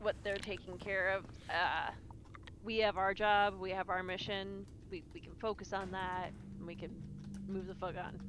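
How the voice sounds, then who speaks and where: A woman's voice: medium pitch, fairly even intonation, normal speed. A woman talking casually as a tabletop game player, blunt and given to swearing. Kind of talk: casual in-character game chat. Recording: faint background noise on live stream.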